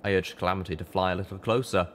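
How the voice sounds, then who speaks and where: A man with a low voice narrates a fantasy tale. He reads scripted first-person prose as an audiobook storyteller. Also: fairly even intonation, very fast, a bit bright, slight background noise. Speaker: audiobook reader.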